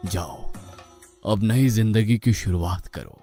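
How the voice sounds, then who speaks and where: A man playing a patient, kindly god with a gently coaxing manner in an acted devotional story. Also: lowish voice, slow, loud music behind the voice. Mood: forgiving. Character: Lord Rama, patient, kindly male god.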